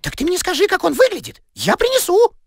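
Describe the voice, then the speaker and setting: A man's voice: extremely high pitch, huge pitch swings, brisk, vocal fry, soft background music, full character voice. A man voicing a brash, stubborn young rabbit in a cartoon, with a cocky, bossy way of arguing. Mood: brisk, eager. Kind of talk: animated cartoon dialogue.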